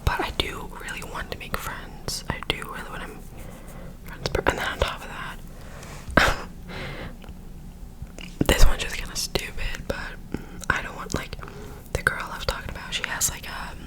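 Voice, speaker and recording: A woman's voice: moderate pace, long pauses, sharp tone, low-quality recording. A young woman whispering a rambling story into a close microphone while doing her makeup, often correcting herself as she goes. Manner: rambling, self-correcting, filler-heavy storytelling. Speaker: ASMR creator.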